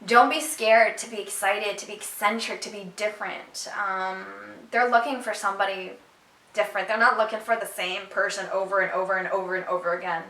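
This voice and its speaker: A young woman telling her own story to her viewers in a casual, animated and emphatic way. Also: medium pitch, fairly quick, echoey room, far from the mic, low-quality recording. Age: young adult. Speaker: vlogger.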